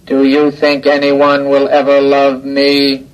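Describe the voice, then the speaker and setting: An actor playing an obedient, childlike iron robot in a radio drama, speaking in a measured monotone. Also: male voice, medium pitch, lightly acted, low-quality recording. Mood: naively curious. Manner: flat, measured monotone delivery. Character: slow, obedient, childlike iron robot henchman, naively curious. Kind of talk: old-time radio drama acting.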